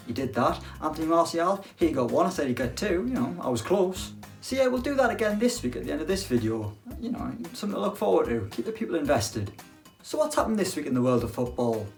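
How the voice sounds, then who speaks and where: A young man chatting about football with a dry, self-deprecating wit. His voice sits a little high and bounces up and down a lot. Faint music plays behind him. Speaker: football presenter. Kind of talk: casual football chat to camera.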